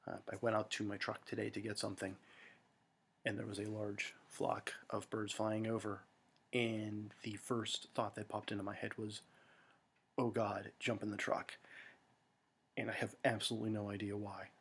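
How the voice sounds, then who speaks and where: A man's voice: on the low side, little pitch movement, normal speed, gravelly, a bit bright, breathy edge. An American man talking to friends in a rambling, self-deprecating monologue full of fillers and restarts, recorded at home. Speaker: vlogger.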